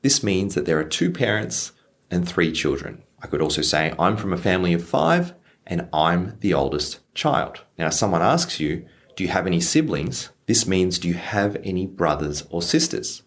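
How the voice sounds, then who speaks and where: A young man teaching English phrases to learners, slowing down on example lines. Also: low-pitched. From Australia. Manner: lively, stresses example phrases slowly. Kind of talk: clear teaching explanation for learners.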